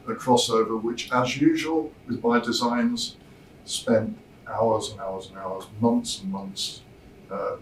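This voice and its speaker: Man presenting in a roomy, distant recording. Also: medium pitch. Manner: measured, explanatory, pausing mid-thought. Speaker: loudspeaker designer presenting a product. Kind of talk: informal product presentation to visitors. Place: demo room at a hi-fi show, indoors. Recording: roomy, distant mic, noisy show room.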